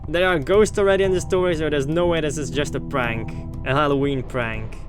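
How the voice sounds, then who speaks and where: A man's voice: fairly high pitch, fairly even intonation, a little fast, music in the background. A man hosting a gaming video, playfully thinking aloud and exclaiming as he comments casually on the playthrough.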